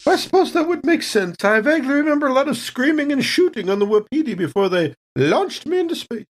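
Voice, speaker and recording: A woman's voice: sits high, sing-song, brisk. A woman playing a polite, evasive company captain in improvised sci-fi role-play, hesitant and genteel in her phrasing. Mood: puzzled, polite.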